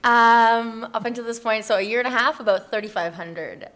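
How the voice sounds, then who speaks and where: A woman's voice: on the high side, steady tone, moderate pace, a bit bright. A woman chatting casually as an interview guest, informal and self-deprecating, often saying like. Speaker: interview guest, community project founder. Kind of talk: casual chat.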